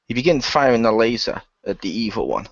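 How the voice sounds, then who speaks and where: A man's voice: medium pitch, big pitch swings, brisk, creaky, no room sound, muffled recording. A man narrating the action of an amateur radio play as one of its performers.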